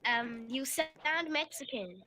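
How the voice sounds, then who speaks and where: A woman's voice: on the high side, lively intonation, slow pace. A woman teasing a fellow player in casual game voice chat, blurting odd questions. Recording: loud noisy voice chat line.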